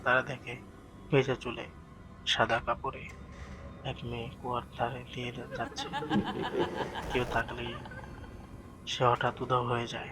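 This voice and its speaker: A man's voice: medium pitch, monotone, unhurried, pauses often, poor audio. A man narrating a scripted horror story in a dramatic, suspenseful way as the storyteller.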